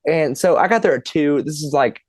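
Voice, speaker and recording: A man's voice: a little high, a little fast, no echo. A man in his twenties, a podcast guest, telling a long funny story in casual chat, laughing and swearing as he goes.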